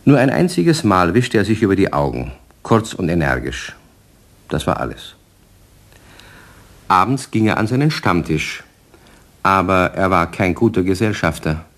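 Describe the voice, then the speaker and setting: A man narrating a children's radio play as a storyteller, speaking to young listeners in measured phrases. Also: deep voice, sing-song, a bit bright.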